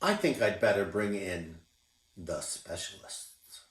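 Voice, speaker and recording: A man voicing a fussy male family doctor in a children's story, speaking in a brisk, reassuring way. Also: deep voice, animated, pitched below the performer's own voice. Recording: roomy, distant mic.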